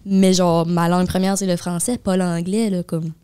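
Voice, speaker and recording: A woman's voice: fairly low pitch, little pitch movement, normal speed, dark tone, clear, resonant tone. Young Acadian woman, casual bilingual chat. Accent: Acadian French, New Brunswick. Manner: mixes English words into French, many fillers. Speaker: podcast co-host.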